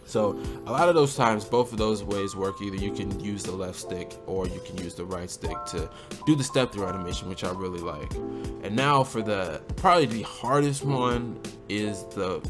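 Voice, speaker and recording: Guy with a lowish voice, a bit hoarse from being sick, chill tutorial talk, music going under him. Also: moderate pace. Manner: relaxed, conversational, often asks "right?". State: voice slightly hoarse from a cold. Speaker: gaming channel host. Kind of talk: casual game tutorial commentary.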